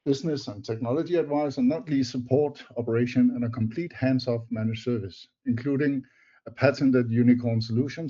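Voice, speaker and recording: An older Danish man gives a webinar talk, his voice mellow and warm in tone. He speaks English as a second language, measured and a little halting. The audio is dull and lo-fi, typical of an online meeting. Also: mid-range voice. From Denmark. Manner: measured, slightly halting non-native phrasing. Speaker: presenter, technology advisor. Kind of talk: webinar presentation.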